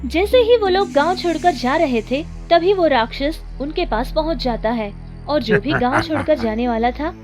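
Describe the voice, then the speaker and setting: A woman narrating a children's cartoon story in a steady storytelling manner. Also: high-pitched, normal speed, soft background music.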